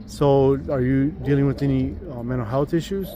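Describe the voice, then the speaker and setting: A man's voice: medium pitch, normal speed. A man from Dallas hosting a live street interview, asking simple friendly questions with many fillers. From Dallas, Texas. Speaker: street interviewer, channel host. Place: outdoors by a busy road. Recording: heavy traffic noise outdoors.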